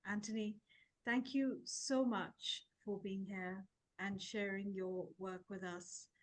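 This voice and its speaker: A woman's voice: mid-range voice, steady tone, slow. A woman hosting a festival interview, encouraging her guest and giving brief affirmations. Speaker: host.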